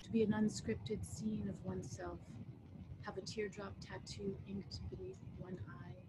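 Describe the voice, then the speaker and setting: Middle aged american lady reading her poems, deliberate phrasing, really noisy webcam audio. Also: mid-range voice, little pitch movement. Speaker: poet. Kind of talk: poetry reading with casual introductions. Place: book-lined wood-panelled room at home, indoors. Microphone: computer mic on video call. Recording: very noisy video-call audio.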